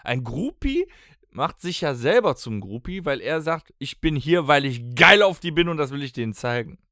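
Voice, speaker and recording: A man's voice: fairly high pitch, big pitch swings, normal speed, bright, forceful tone, no echo, clean studio sound. A man, chatting casually and bantering as a podcast co-host. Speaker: podcast co-host and band musician. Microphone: close studio podcast microphone.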